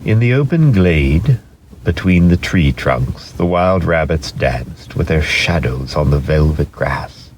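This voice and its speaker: A man narrating a children's story as a dramatic reading, expressive and measured, with deliberate pauses. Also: rumbling low, slight background noise.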